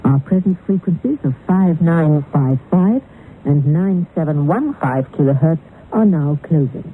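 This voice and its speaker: Woman radio announcer, really low voice, tinny old 80s shortwave with some hiss. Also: lively intonation, unhurried. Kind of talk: scripted shortwave broadcast sign-off.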